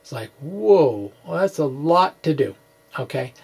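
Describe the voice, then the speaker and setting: Middle-aged man, gravelly creak, offhand and halting, informal lecture delivery, quirky asides. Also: on the high side, animated, darkish tone. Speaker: physics blogger presenting puzzle answers. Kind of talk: informal explanatory lecture to camera. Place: small indoor room with bookshelves. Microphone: microphone on a stand in front of him.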